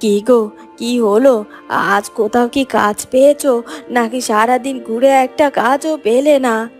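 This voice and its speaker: Woman as a high-voiced caring village wife. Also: steady tone, unhurried, faint music behind, natural voice. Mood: curious. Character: poor farmer's caring, anxious, teasing village wife. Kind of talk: Bengali cartoon fairy tale acting.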